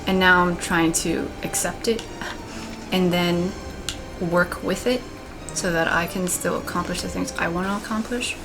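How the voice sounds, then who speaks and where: Young female let's player, flat and low-pitched, thinks out loud with game music underneath. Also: unhurried, gravelly, low-quality recording. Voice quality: monotone. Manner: thoughtful, rambling, candid. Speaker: let's play gamer. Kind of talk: casual commentary while gaming.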